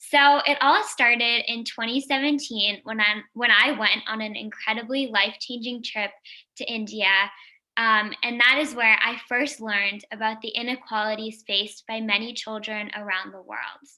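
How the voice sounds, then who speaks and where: A woman's voice: a little high, fairly even intonation, normal speed, pushed and bright, low-quality recording. Upbeat young woman hosting a live stream. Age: young adult. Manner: upbeat, welcoming, speaking to viewers. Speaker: host, founder of youth nonprofit.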